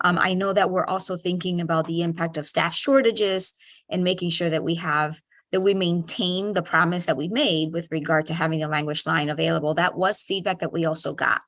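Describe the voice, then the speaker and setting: A woman speaking as a school superintendent, giving a diplomatic, measured and hesitant formal response at a meeting. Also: fairly low pitch, steady tone, fairly bright tone.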